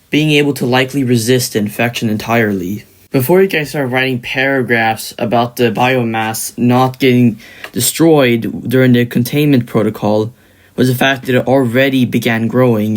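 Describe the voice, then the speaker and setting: A man works through a scripted explainer as the narrator of a commentary video. His voice sits at an average pitch for a man. He presents fictional match-ups as a prepared voice-over.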